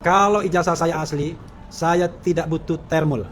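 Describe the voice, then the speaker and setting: Sarcastic high-voiced man, faint hiss. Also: steady tone, normal speed. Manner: repetitive, sarcastic. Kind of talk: rhetorical speech with repetition.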